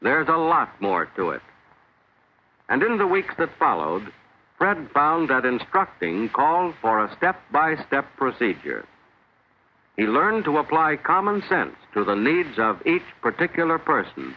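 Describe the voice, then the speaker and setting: Lively American male narrator, muffled 1940s film. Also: fairly high pitch, a little slow, slight background noise.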